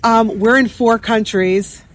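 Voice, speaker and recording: A young woman co-hosting a casual chat podcast, animated and quick to laugh as she tells stories. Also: on the high side, crowd noise. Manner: chatty, animated storyteller who laughs often. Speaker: podcast co-host. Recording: noisy background hiss.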